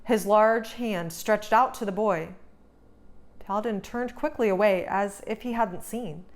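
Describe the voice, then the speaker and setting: A woman narrating a children's storybook as a read-aloud host, with a dramatic, expressive storytelling delivery. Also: mid-range voice, measured pace.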